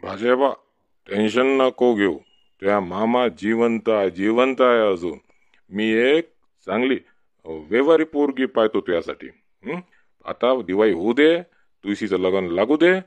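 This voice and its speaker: Cartoon village drunkard uncle male actor, low voice, Varhadi Marathi accent; boastful, cajoling, rambling, dry close sound. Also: lively intonation, a little slow, no echo. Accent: Varhadi Marathi (Vidarbha). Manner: rambling, cajoling, repetitive tag questions. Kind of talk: comic village cartoon dialogue.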